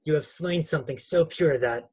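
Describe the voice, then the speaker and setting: A man playing a solemn, cryptic centaur with a husky voice, reading in an amateur table read. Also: fairly high pitch, moderate pace, darkish tone. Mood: grave, warning. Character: solemn male centaur, grave cryptic forest guardian.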